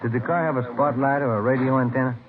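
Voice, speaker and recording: An actor playing a practical, easygoing American police detective partner in a 1950s radio drama, plain and businesslike. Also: male voice, medium pitch, fairly even intonation, fast, crowd noise, close to an everyday voice. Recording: noisy, narrow-band vintage radio recording.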